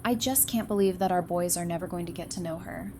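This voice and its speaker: Actress as loving mother and partner, grieving, low female voice, audio drama, noticeable background noise. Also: quick pace, a little mellow, lightly acted. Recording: noisy home recording, background hiss.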